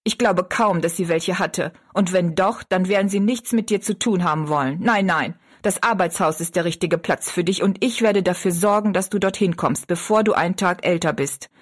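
A woman's voice: lowish voice, moderate pace, hardly any pauses, natural voice. A woman voicing a harsh, contemptuous stepmother in an audiobook story, stern and cutting. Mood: dismissive, harsh. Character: cold, scornful stepmother, harsh mistress of the house.

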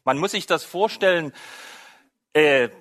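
A middle-aged man from Saxony with a Saxon German accent, preaching a sermon as a missionary, telling a story in a lively way. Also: a little high, measured pace.

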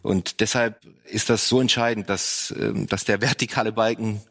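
Male pastor, low-pitched, halting and reflective, panel guest. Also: fairly even intonation, a bit bright. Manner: reflective, halting, with many fillers. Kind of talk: panel discussion on parenting and faith.

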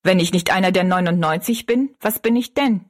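Lady reading as a curious guy in a storybook, husky, casual, kinda amused, asking questions. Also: on the low side, a little fast, close to an everyday voice. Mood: questioning.

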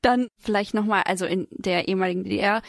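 A woman's voice: mid-range voice, fast talker, dry recording. A woman hosting a podcast in a studio, chatting casually as she interviews her guests. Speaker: podcast host.

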